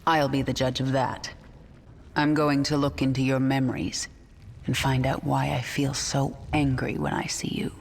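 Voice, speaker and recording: A woman's voice: a bass voice, flat delivery, moderate pace, vocal fry, a little hiss or hum. An actress playing a stern, imperious queen of an alien swarm in a video game, speaking curtly with simmering menace. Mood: menacing, angry. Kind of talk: video game character acting.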